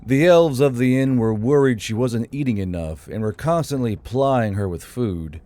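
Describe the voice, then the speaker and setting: Mellow male story narrator. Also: mid-range voice, animated, normal speed. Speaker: narrator and channel host.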